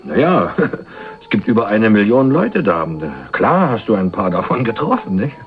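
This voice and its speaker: A man's voice: on the low side, very animated, normal speed, narrow, tinny audio, faint music behind. An actor playing a wary young man with a dry, ironic, guarded manner in a radio crime drama. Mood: dry irony. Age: young adult. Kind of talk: 1980s German radio crime drama acting.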